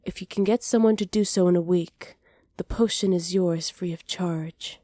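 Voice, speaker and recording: A woman playing a flirty, cruel evil witch in a soft ASMR roleplay, teasing and smug, close to the mic. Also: on the low side, fairly even intonation, no room sound, lightly acted. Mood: sly, businesslike. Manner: teasing, smug, unhurried, often dropping to near-whispers. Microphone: close microphone, dry.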